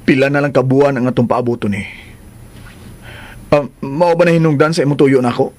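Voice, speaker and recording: Actor as a secretive husband, medium-pitched. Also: male voice, fairly quick, a little mellow, lightly acted. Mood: placating, furtive. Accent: Cebuano. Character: secretive, placating unfaithful husband, soothing yet determined. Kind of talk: radio drama acting.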